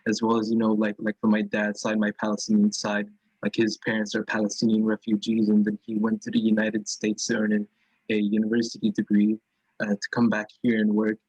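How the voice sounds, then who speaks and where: A man's voice: on the low side, monotone, fairly quick, darkish tone, husky, no room sound. A young man speaking as a student panelist in a casual discussion, hesitant and full of fillers. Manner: hesitant, full of "um" and "you know". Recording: dull dry sound.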